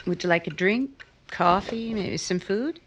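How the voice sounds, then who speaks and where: A woman playing a proud, teasing, guarded young woman in an old-time radio romance, with a coy, measured delivery. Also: mid-range voice, dull audio, slight background noise, lightly acted. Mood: guarded, hospitable.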